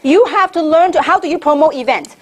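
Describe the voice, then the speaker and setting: A woman's voice: high-pitched, brisk. A middle-aged woman from Hong Kong speaks as a seminar trainer, emphatic and repetitive, throwing rhetorical questions at her listeners. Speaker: network marketing trainer giving a seminar. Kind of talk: motivational sales training talk to a room.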